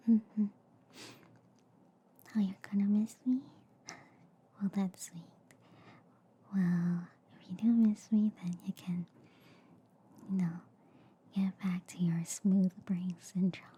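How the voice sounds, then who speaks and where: A woman whispering softly and soothingly in an ASMR roleplay as a gentle, reassuring alien doctor, close to the microphone. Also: mid-range voice, slight background noise. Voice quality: soft, breathy whisper.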